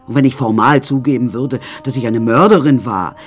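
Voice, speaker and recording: A woman's voice: a bass voice, a little fast, husky, faint music behind. An actress playing a vain, deluded middle-aged woman artist in an asylum, delivering a theatrical, self-important radio play monologue. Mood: self-satisfied, persuasive.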